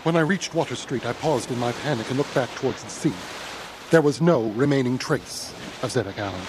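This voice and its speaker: An actor playing an earnest, uneasy young gentleman who narrates an audio horror drama in articulate, formal phrasing. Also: medium pitch, a little fast, noisy background, natural voice. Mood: shaken, grave.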